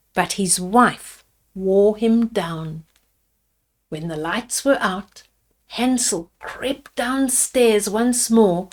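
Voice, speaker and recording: An older woman storyteller with a fairly high voice reads a children's fairy tale very slowly. She is deliberate in her delivery, pausing to explain things to her young listeners as she goes. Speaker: storyteller reading children's picture books. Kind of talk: read-aloud bedtime fairy tale with asides to children.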